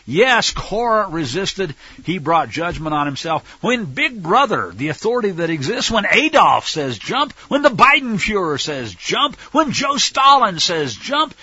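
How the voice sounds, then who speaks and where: American guy preaching, husky voice that swings all over, sarcastic and punchy, dry lo-fi sound. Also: high-pitched, pushed and bright, no echo. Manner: emphatic, sarcastic, rhetorical questions. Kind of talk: sermon, Bible teaching with political commentary.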